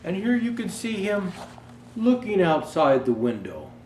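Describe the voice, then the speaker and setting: A man's voice: high voice, wildly swooping pitch, a little slow, a little mellow, exaggerated voice. A man playing Santa Claus as a jolly old storyteller, reading a children's storybook aloud with chatty asides. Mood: gentle storytelling. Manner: jovial ho-ho greetings, chatty asides. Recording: roomy echo, faint background noise.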